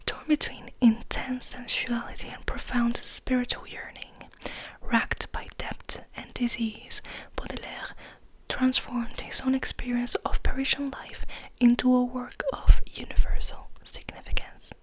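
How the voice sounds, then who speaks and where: A woman speaking in a breathy, intimate whisper, soothing the listener as she reads and rambles in an ASMR style. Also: fairly high pitch, completely flat delivery, measured pace, poor audio. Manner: intimate, soothing, repeats words softly. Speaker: ASMR host reading poetry aloud.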